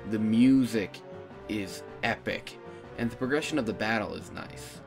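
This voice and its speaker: A man's voice: lowish voice, measured pace. A man giving a casual, scripted opinion narration as a video game reviewer. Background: background music.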